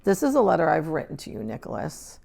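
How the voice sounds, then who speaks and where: Storybook schoolmistress, performed by a woman: stern, older, precise and controlled, with gravelly edges. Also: low-pitched, big pitch swings, darkish tone, heavily acted. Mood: resolute. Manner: measured, precise, formal. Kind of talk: storybook read-aloud character dialogue.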